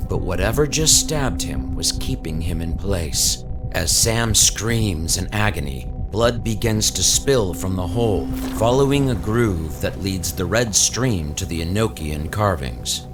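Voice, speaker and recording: A man's voice: deep voice, lively intonation, unhurried. A man giving dramatic scripted narration for a horror audio drama. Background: music under the voice.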